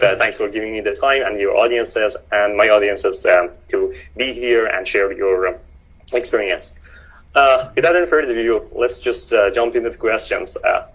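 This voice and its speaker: A man's voice: on the low side, low-quality recording. A man hosting a casual online interview, speaking hesitantly with many fillers and restarts. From Canada. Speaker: host.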